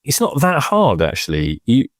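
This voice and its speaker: A man's voice: medium pitch, huge pitch swings, normal speed, no room sound, studio quality. A man casually explaining things as an expert podcast presenter, hesitant and often circling back to correct himself.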